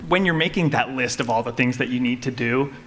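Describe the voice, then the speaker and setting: A man's voice: mid-range voice, fairly quick, a bit bright. A man speaking as a panelist, giving methodical, practical advice in a casual explanatory way at a live event. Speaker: audio drama creator, panelist. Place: live convention panel before an audience. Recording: live panel with background room noise.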